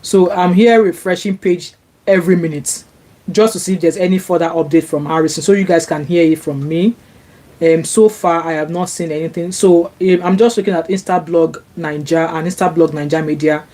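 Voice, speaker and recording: A woman's voice: lowish voice, fairly even intonation, brisk, mellow. Chatty Nigerian woman reading comments. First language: Yoruba. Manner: chatty, frequent fillers and restarts, rises when indignant. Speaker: vlogger reading viewer comments. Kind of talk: casual live-stream commentary. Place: home, sunny Glasgow. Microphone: laptop.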